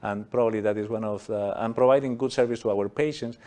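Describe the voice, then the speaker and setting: A man from Peru with a Peruvian Spanish accent, a cardiologist guest in a studio interview, who speaks haltingly with many uhs. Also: on the low side, fairly even intonation, dark tone.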